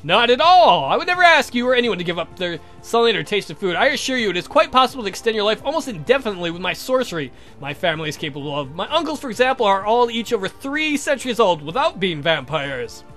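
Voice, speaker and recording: A high-pitched gentleman vampire played by a man from a gothic game. He speaks in long, formal sentences, earnest and smitten, over clear background music. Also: very animated, quick pace, hardly any pauses. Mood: earnest reassuring. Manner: formal, verbose, confessing. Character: courtly lovestruck male vampire count, formal and earnest.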